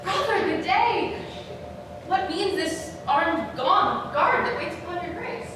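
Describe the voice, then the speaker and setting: A woman playing a scheming, bitter royal villain in a theatrical Shakespearean staged reading, with dramatic, weighted pauses. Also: very high-pitched. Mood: feigned concern. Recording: very echoey noisy hall, distant mic.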